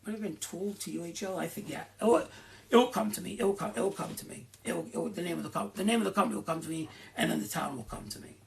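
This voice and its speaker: A middle-aged man chatting casually to his viewers, rambling from one story to the next and circling back with asides. His voice sits fairly high for a man, with a gravelly, creaky edge running through it. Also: very fast, a little hiss or hum, poor audio. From Rhode Island. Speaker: host of a live Q and A.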